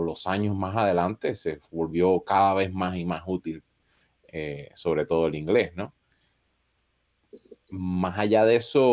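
A man's voice: sits low, darkish tone. A man from Costa Rica speaking casually as a podcast guest, in a dull, muffled recording. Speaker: podcast guest, content creator. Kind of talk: casual autobiographical conversation.

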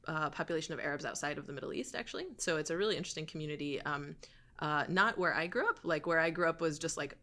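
Low-voiced woman with a gravelly edge. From Michigan, USA. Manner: relaxed, frequent fillers and laughs. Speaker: guest. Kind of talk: casual interview chat.

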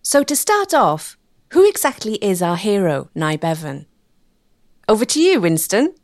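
A woman reading scripted documentary narration in a measured, articulate way. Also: medium pitch, big pitch swings, darkish tone, no echo, studio quality.

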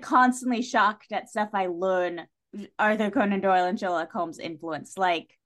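Female podcast guest, conversational and emphatic, full of opinions, mid-range pitch. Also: fairly bright tone. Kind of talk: casual podcast discussion.

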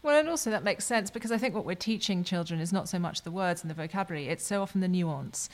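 A woman's voice: lowish voice, fast talker. A woman in her forties hosting a podcast interview, chatting and telling anecdotes with plenty of fillers. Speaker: podcast host.

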